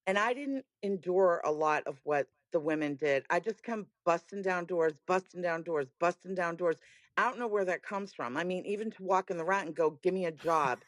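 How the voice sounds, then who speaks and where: Raspy woman, candid podcast guest, bold emphatic delivery, dry mic. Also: fairly low pitch, moderate pace, no echo. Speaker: guest, music booker. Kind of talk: podcast conversation.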